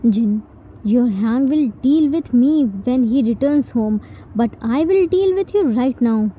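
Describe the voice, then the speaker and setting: A woman's voice: a little high, animated, slow, mellow, rough recording. A woman voicing a stern, hot-tempered young man. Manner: scolding, commanding.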